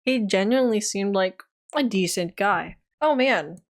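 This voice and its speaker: A young woman giving an animated, exasperated review of a TV series in a casual vlog, her voice tiring. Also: medium pitch, unhurried. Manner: animated, exasperated, dramatic outbursts. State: voice getting tired.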